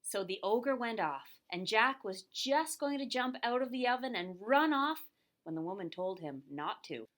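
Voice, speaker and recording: A woman's voice: fairly high pitch, lively intonation, normal speed, fairly bright tone. Woman storyteller narrating for children. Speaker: puppet show storyteller.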